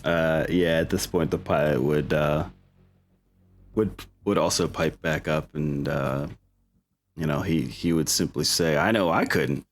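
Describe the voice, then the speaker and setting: A man's voice: extremely low pitch, steady tone, measured pace. A man narrating a tabletop roleplay session casually as game master, often thinking aloud.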